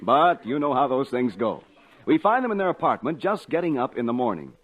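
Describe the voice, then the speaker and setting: A man's voice: mid-range voice, sing-song, a little fast, phone-quality sound. A man announcing a scripted 1940s radio variety show in an emphatic, showy style.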